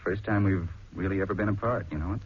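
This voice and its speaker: A man's voice: deep voice, fast, a little hiss or hum, natural voice. A man acting an easygoing, teasing, baffled husband in a 1940s radio drama, on a muffled old recording. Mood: coaxing, teasing.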